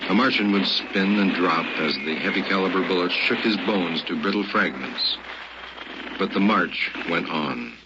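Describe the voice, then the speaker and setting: An actor playing the grave narrator of an old-time radio drama, telling a remembered story in measured, dramatic phrases. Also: male voice, low-pitched, very noisy. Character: grave male narrator recalling a desert march from boyhood. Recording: dull old radio recording with music.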